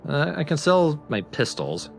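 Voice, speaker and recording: A man's voice: fairly high pitch, very animated, measured pace, vocal fry, soft background music. A man giving casual, explanatory commentary on a video game as he plays. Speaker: let's play commentator.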